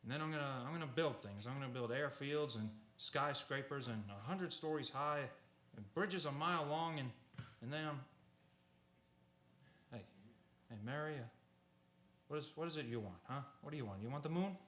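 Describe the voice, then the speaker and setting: An actor playing a restless, earnest young man with big dreams and a halting, stammering delivery in an old-fashioned radio play. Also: medium pitch, fairly bright tone, lightly acted. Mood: dreamy, boastful. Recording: roomy echo, faint hiss.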